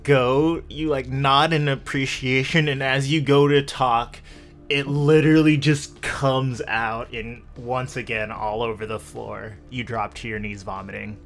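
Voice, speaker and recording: A man improvising second-person narration as the game master of a tabletop roleplaying game, with deliberate, dramatic phrasing. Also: mid-range voice, a bit bright.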